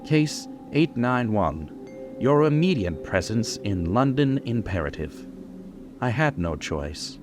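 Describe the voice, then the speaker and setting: A man's voice: lowish voice, sing-song, slow pace. A man playing an earnest young doctor, narrating his journal in a formal, dramatic old-time radio style. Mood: grave narration. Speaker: narrator and character. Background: prominent music.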